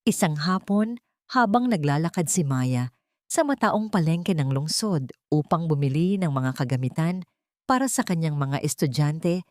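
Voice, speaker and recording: Woman, low-pitched, solemn sentimental storyteller, scripted narration, close dry sound. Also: a little mellow, no room sound.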